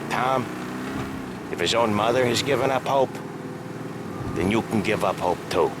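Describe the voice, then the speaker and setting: An actor playing a sardonic, weary 1930s American mobster in a video game, with dry, slangy delivery. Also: male voice, on the low side, unhurried, noisy background, close to an everyday voice. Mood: dry banter. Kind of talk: cinematic video game dialogue.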